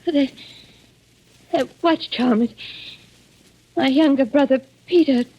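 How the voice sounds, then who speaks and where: An actress playing a distraught, fretful married woman, halting and emotional, in 1940s radio melodrama style. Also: sits high, mellow. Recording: muffled old radio recording.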